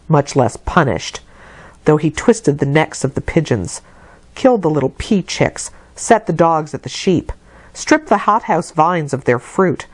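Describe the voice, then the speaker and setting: A woman reading a classic novel aloud as an audiobook narrator, in a measured, expressive literary delivery. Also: lowish voice, dry recording. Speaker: audiobook reader.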